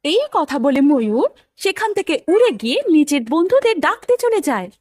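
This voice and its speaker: A woman narrates a scripted children's tale. Her voice is high and very expressive in pitch. The recording is close and dry. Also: normal speed, dry recording.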